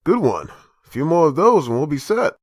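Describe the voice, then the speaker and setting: A man's voice: on the high side, sing-song, fairly quick, no room sound, clean studio sound. A man voicing a stern, desperate father who leads a group of survivors in a comic dub. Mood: grave, subdued.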